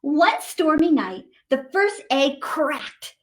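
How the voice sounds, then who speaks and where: A woman's voice: high voice, big pitch swings, takes it slowly, slight background noise. A woman reading a picture book aloud to children expressively, with chatty asides to young listeners. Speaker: storytime host reading to children.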